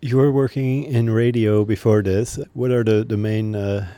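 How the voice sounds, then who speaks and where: A man hosting a local radio newscast, reading the news briskly and then asking casual interview questions. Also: fairly low pitch, fairly even intonation, mellow, no room sound.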